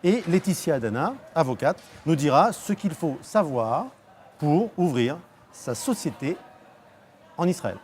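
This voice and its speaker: A man's voice: fairly high pitch, very animated, unhurried, darkish tone, slight background noise. A middle-aged man hosting a TV talk show, introducing his guests and teasing them in playful banter. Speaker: host.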